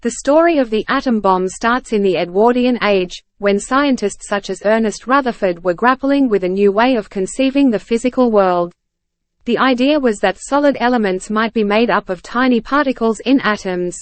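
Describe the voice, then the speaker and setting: A woman's voice: fairly high pitch, moderate pace, dry recording. Woman reading aloud, very dry sound. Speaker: reader of practice passages. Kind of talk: scripted read-aloud narration.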